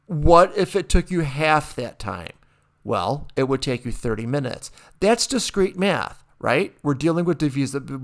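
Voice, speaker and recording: A man's voice: fairly high pitch, creaky. A man, a college math instructor, giving a conversational recorded lecture over a screen share, asking rhetorical questions and restarting his sentences often.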